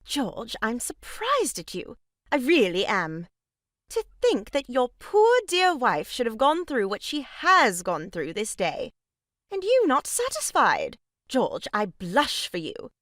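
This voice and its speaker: A woman's voice: a little high, wildly swooping pitch, slow pace, a bit bright, no room sound, studio quality, exaggerated voice. An actress playing a prim, moralising married lady, reproving and emphatic, in a period farce audio drama. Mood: righteous reproach.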